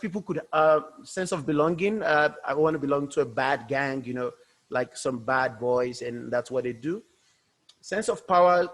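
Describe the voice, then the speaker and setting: Guy w a giving a research talk on a video call, bit higher voice, loose and chatty, keeps rephrasing. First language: Yoruba. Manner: informal and loosely explaining, often rephrasing mid-sentence. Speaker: researcher presenting at a workshop. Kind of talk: academic conference presentation.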